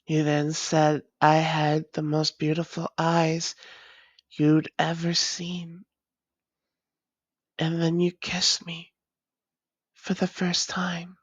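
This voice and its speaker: A tender vampire woman, acted by a female performer speaks to her long-lost love in an intimate audio roleplay. Her voice is low and very slow, with old-fashioned, formal wording that often softens toward whispering. The recording is a little dull and muffled. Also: little pitch movement, dry recording. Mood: fond, intimate.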